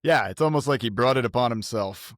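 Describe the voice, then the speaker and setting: A man's voice: mid-range voice, a little fast, no echo, clean studio sound. A man playing a tabletop role-playing game, talking casually and tentatively as he thinks aloud.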